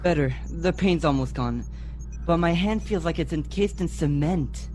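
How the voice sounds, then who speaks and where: A man's voice: a little high, normal speed, a little mellow, roomy sound, long reverb tail, a little hiss or hum, natural voice. A young man playing an injured, dutiful teenage boy pilot in a sci-fi radio play. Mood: strained, wry. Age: young adult.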